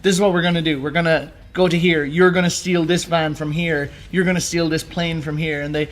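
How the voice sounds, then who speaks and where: A man's voice: a little high, flat delivery, fairly quick. A man co-hosting a podcast, chatting casually and telling stories in an informal, enthusiastic way. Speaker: podcast co-host. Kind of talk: casual chat about games.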